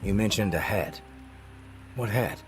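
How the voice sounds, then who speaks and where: An actor playing a calm male survivor in a video game, speaking in a measured, firm and reassuring way. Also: fairly low pitch, faint music behind, close to an everyday voice. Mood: probing. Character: calm, steady male survivor counseling a troubled friend. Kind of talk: dramatic video game acting.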